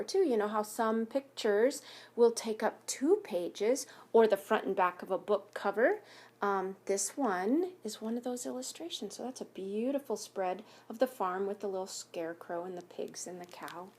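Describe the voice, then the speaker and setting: A woman reading a picture book aloud to children like a school teacher, pausing to explain things and ask questions. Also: medium pitch, a little mellow, husky. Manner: instructive, asks listeners questions. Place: home basement by a fire.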